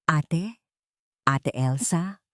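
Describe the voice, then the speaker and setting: A woman's voice: low-pitched, extremely slow, no echo, clean studio sound. A woman voicing a poor, protective young woman in distress, emotional and pleading, in an audio drama. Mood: anxious. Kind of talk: audio drama acting in Tagalog storytelling.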